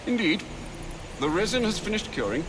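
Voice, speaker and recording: An actor playing a dry, proper older butler in a video game, formal, measured and deferential. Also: male voice, high voice, very animated. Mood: dry, composed. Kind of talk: video game cutscene acting. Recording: clear vehicle and outdoor ambience noise.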